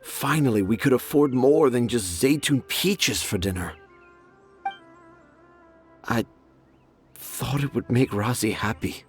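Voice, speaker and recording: A man's voice: medium pitch, lively intonation, slow pace, long pauses, faint music behind. A man voicing a remorseful, self-deprecating storyteller father in a game. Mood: regretful. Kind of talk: game voice acting.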